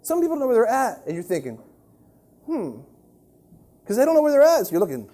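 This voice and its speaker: High, animated Southern man telling a story. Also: quick pace. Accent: Southern American. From American South. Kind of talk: humorous anecdote in a sermon.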